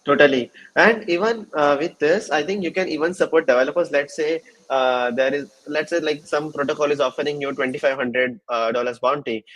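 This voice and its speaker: A man hosting a casual livestream interview, speaking in halting phrases with repeated fillers. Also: on the high side, fairly bright tone, rough recording. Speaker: host of a developer livestream session.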